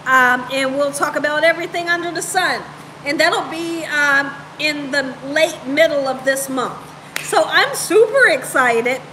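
A woman's voice: sits high, fairly even intonation, moderate pace, sharp tone, a little hiss or hum, poor audio. A woman around her thirties or forties chatting casually and playfully with live viewers as host, repeating phrases for emphasis. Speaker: cooking show host on a livestream. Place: home room, indoors.

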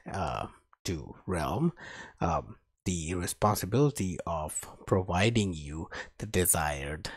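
A man narrating a coding tutorial as an instructor, speaking haltingly as he types along, with a husky voice. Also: low-pitched, no room sound. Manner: halting, talks while typing. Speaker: programming instructor.

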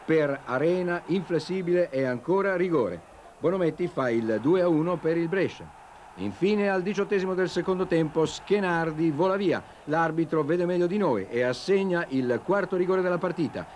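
Higher-voiced man reporting on football. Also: expressive, normal speed, a little mellow. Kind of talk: scripted match report narration. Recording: TV broadcast with faint stadium noise.

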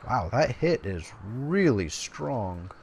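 A man's voice: mid-range voice, big pitch swings, takes it slowly, a little hiss or hum. A man giving casual, playful commentary as he plays a game, often muttering to himself. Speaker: gamer.

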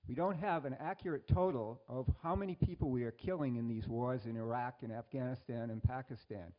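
A slightly muffled recording of an older man. He stands at an audience microphone and puts a pointed question in a mid-pitched voice. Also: brisk. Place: auditorium audience.

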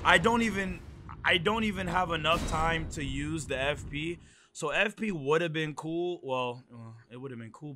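A young American man giving casual, excitable let's-play commentary, slangy and repeating his phrases. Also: on the high side, slight background noise. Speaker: gaming YouTuber. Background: game music.

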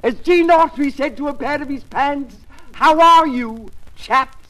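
An actor playing a pompous, cheery music-hall comedian telling gags in old radio comedy. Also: male voice, very high-pitched, very noisy. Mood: jaunty, pompous. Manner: pompous, jaunty, drawn-out punchlines. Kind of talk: 1940s radio comedy acting.

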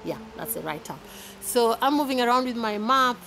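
A woman in her thirties from Kenya with a Kenyan accent, giving casual advice to camera in a chatty, animated way, filmed outdoors in a garden. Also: on the high side, moderate pace, creaky, a little hiss or hum, poor audio. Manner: chatty, animated, laughs often, with repeated restarts. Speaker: vlogger. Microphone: camera at arm's length.

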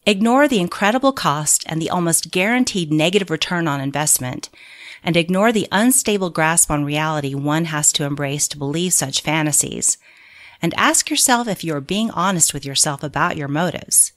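A woman reading scripted audiobook narration of a political manual in a measured, deliberate, clearly phrased way. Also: low-pitched, expressive, fairly bright tone.

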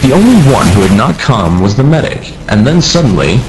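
Man reading a story aloud, animated pitch. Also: a little fast, music in the background, low-quality recording. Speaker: reader of fan fiction. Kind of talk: reading a comic story aloud to online gamers.

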